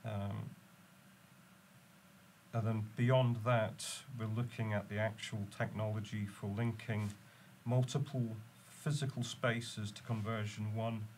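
A man on a panel, speaking in a measured way with many pauses while losing his voice to a head cold. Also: on the low side, little pitch movement, darkish tone, slight background noise. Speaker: guest from the company. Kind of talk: panel discussion. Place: dim studio lounge with screen.

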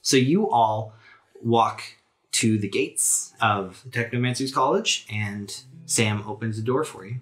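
A man runs a tabletop game, narrating scenes for his players. His voice sits at an average pitch, and soft instrumental music plays behind him. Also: takes it slowly. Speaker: game master.

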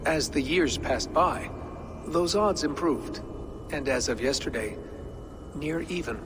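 A man doing a precise, calm calculating being. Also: medium pitch, background noise, close to an everyday voice. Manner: precise, measured, matter-of-fact. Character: calm, precise man who reads probabilities. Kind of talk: video game cutscene. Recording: game audio with music behind.

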